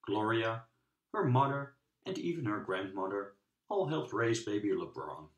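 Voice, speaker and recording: A man reads a children's storybook aloud in a lively, swooping delivery. He phrases it in measured pieces with dramatic pauses. The room adds a noticeable echo. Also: on the low side, highs cut off. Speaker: storybook reader. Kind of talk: children's book read-aloud narration. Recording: roomy, echoing sound.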